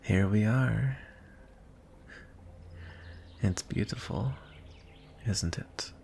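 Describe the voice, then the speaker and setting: A man's voice: on the low side, gravelly, a little hiss or hum, heavily acted. A man voicing a teasing, coaxing dragon with a smug streak of menace, in a fantasy ASMR roleplay. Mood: gentle, playful. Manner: slow, coaxing, softly threatening.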